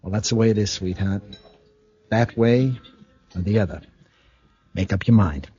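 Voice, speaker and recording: An actor playing a sly, wheedling American ex-convict blackmailer in an old-time radio drama, slangy and falsely sweet with menace underneath. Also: male voice, lowish voice, moderate pace, dark tone, breathy edge, highs cut off. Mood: cold, pressuring. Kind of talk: 1940s radio drama acting.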